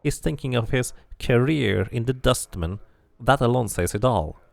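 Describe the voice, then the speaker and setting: A man's voice: mid-range voice, expressive, normal speed, vocal fry, dry recording. A man voicing a cranky, scornful old woman who gossips and mutters insults in a fantasy game.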